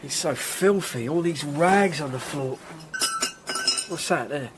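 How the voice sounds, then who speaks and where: An earthy, sceptical Tudor sidekick in a radio play, his voice a little high for a man. He is blunt and plain-spoken, with clear background noise around him. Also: takes it slowly. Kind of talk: radio drama acting.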